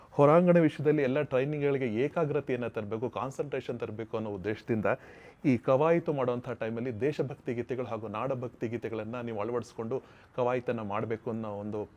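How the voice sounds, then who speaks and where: A man's voice: medium pitch, darkish tone. Male interviewer, slightly muffled sound. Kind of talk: formal, respectful interview questioning.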